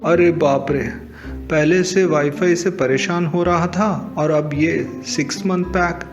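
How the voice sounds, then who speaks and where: A man's voice: a little high, steady tone, takes it slowly, faint music behind. A man playing a fretful, exasperated village husband who complains melodramatically in a cartoon comedy story. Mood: panicked. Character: anxious, exasperated, unschooled village husband bluffing.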